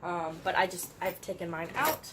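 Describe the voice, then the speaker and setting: A woman's voice: fairly low pitch, animated, moderate pace, background noise. A young woman with a slightly congested voice, chatting casually to the camera as a vlogger, peppering her talk with "like" and little laughs. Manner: chatty, uses "like" often, light laughs. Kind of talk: casual chat. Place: her room.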